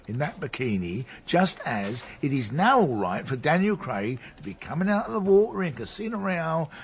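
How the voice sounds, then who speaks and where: Lively, stubborn British detective. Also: male voice, mid-range voice, slightly rough. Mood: insistent. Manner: sardonic, blunt, slangy. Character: stubborn, gruff older British male detective, sardonic mentor. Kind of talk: naturalistic radio drama dialogue.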